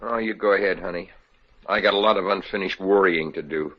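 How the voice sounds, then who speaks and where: A man's voice: deep voice, fairly quick. An actor playing a self-pitying, wry young man down on his luck in a 1950s radio drama. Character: gloomy luckless young boyfriend. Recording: dull old radio recording.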